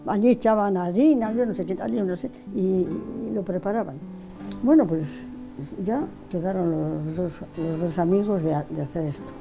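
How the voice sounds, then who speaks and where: A woman's voice: on the low side, moderate pace, gravelly, music in the background. An older woman being interviewed, telling old memories in a rambling way, often repeating words and restarting. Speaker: interviewee, widow of the artist. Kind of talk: casual reminiscing in an interview.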